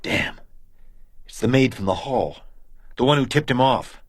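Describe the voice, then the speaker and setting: A man's voice: mid-range voice, expressive, measured pace, dry recording, studio quality. An actor playing a practical, skeptical male police sergeant in a radio crime drama. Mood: grim. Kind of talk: detective radio drama acting.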